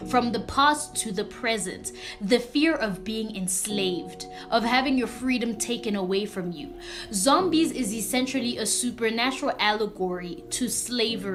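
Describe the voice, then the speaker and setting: A woman whose first language is Kikongo, earnestly and emphatically explaining in a short social media video. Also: on the high side. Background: music.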